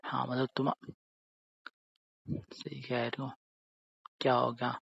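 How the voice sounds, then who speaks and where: Jealous young boyfriend played by a man, even-toned, sulky, accusing, conversational, muffled lo-fi call sound. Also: medium pitch, takes it slowly, creaky, a little hiss or hum, heavily acted. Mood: hurt, sarcastic. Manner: sulky, repetitive, ends phrases with tag questions. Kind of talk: acted phone-call drama in casual conversational speech.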